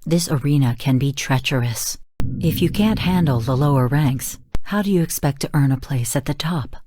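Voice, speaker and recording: An actress playing a cool, exacting female AI coach in a video game, giving clipped, formal, deadpan orders and blunt judgments. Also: very deep voice, moderate pace, background noise. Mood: coolly instructive. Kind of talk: scripted game voice lines.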